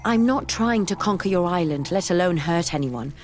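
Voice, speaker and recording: A woman's voice: fairly low pitch, animated, normal speed, a little mellow, lightly acted. An actress playing an earnest, composed female scholar in a video game, polite and sincere. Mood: earnest, curious. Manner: polite, clear-headed, sincere. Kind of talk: scripted video game acting.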